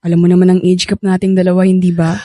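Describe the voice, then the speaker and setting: Actress as mellow, anxious young Filipina wife. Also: female voice, lowish voice, completely flat delivery, quick pace, no echo, studio quality, lightly acted. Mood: anxious, unsure. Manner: hesitant, stammering when uneasy. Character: anxious, hesitant young wife of older man. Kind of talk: Filipino radio drama acting.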